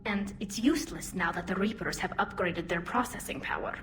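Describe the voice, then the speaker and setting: An actress playing a young, modest, war-weary alien admiral in a video game. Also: female voice, lowish voice, fairly quick, fairly bright tone, a little hiss or hum, close to an everyday voice. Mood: explanatory.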